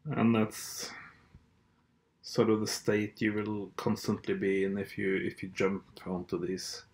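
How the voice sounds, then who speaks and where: A man's voice: fairly low pitch, monotone, measured pace. A man whose first language is Norwegian, hosting a podcast in a hesitant, blunt and sardonic monologue full of fillers and restarts. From Norway. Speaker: podcast host. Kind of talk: casual monologue.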